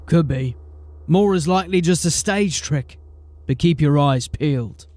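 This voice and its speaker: Blunt, wry lawman of a fantasy city watch, played by a man, slightly high-pitched, grumbling. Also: unhurried, darkish tone, dry recording, close to an everyday voice. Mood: wary. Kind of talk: fantasy audio drama acting.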